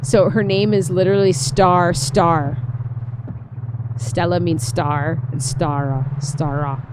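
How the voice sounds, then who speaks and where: A woman streaming a game, reading a visual novel aloud and reacting to it in a playful, expressive way. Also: lowish voice, slow, noisy background.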